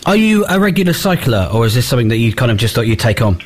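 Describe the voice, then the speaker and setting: A British man hosting a radio show at a brisk clip, with a mid-pitched voice. He chats and interviews informally, rambling a little and joking along. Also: sing-song. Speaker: radio presenter. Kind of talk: chatty radio banter and interviewing.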